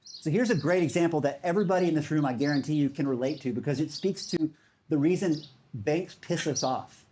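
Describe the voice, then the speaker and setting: A man's voice: fairly high pitch, fairly quick, a little mellow, slight background noise. A man giving a conference talk in a casual, enthusiastic way, with many fillers. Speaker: speaker.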